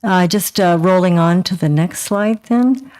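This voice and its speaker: A woman councillor speaks slowly and haltingly, with many pauses and fillers. Her voice is low and smooth, and her pitch stays flat as she reports to the meeting. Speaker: town councillor.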